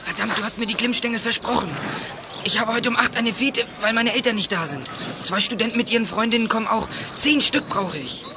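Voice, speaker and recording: An eager, pushy schoolboy in a youth radio play. His slangy voice is high, and the recording is clearly noisy. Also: fairly quick.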